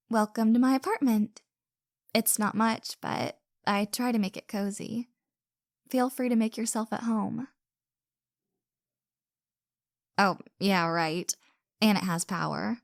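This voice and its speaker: A woman's voice: medium pitch, creaky, no room sound, clean studio sound. A woman acting a caring, flustered young woman with a crush in an intimate roleplay audio drama, speaking hesitantly and earnestly with stammers. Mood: cheery, flustered.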